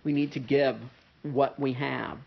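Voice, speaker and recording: A man's voice: mid-range voice, fairly even intonation, a little slow, vocal fry, husky. A man preaching a sermon in a conversational way, with a nasal voice and many "uh" fillers and "right?" asides. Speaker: pastor. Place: church service with a pianist present. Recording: dull, muffled sermon recording.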